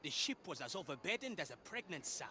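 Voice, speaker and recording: An actor playing a furious, scornful pirate sailor in a video game, theatrical and biting, with old-fashioned seafaring insults. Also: male voice, fairly high pitch, big pitch swings, quick pace, a crowd in the background. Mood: scornful anger. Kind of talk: dramatic video game acting.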